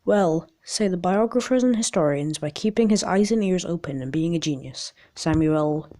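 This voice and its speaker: A woman with a raspy voice in an amateur, casual video, rambling and reading aloud in a jokey, self-deprecating way with stumbles. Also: lowish voice, moderate pace, mellow. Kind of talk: casual rambling vlog with articles read aloud.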